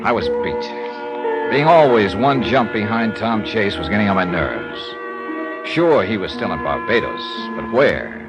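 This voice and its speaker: Hard-boiled American detective, low lively voice, wry, clipped, world-weary, vintage radio drama over loud music. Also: male voice, measured pace, low-quality recording. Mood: weary narration. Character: weary, dogged hard-boiled male insurance investigator. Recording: old radio recording with music behind.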